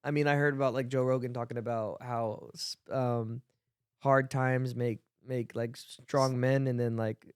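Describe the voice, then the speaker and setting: A man's voice: mid-range voice, fairly even intonation, measured pace, clean tone, dry recording, studio quality. A man co-hosting a podcast, talking in a casual, slangy way. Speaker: podcast co-host.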